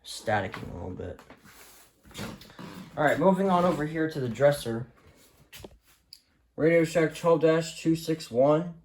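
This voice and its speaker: A man talking casually and off the cuff as a vlogger showing his collection at home, in a low-key, offhand way. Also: lively intonation, measured pace, pauses often, a little mellow, a little hiss or hum. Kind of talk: casual unscripted show-and-tell. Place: indoors, rooms at home.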